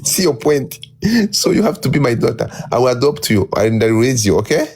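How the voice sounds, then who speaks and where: A man's voice: mid-range voice, animated, brisk, a little mellow, slight background noise. A man hosting a livestream in casual banter, teasing his guest and switching between languages. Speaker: livestream host.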